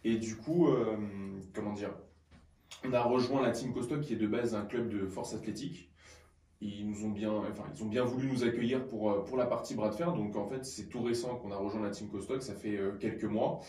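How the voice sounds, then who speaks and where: A man gives conversational answers as a guest athlete on radio. His voice is fairly low, and the sound is roomy and a bit distant from the microphone. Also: fairly quick, dark tone. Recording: roomy, slightly distant mic.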